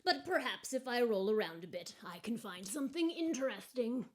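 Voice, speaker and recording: A woman's voice: a little high, sing-song, moderate pace. A woman voicing a hopeful, earnest little lump of coal in a storybook, wistful and full of exclamations. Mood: wistful, determined.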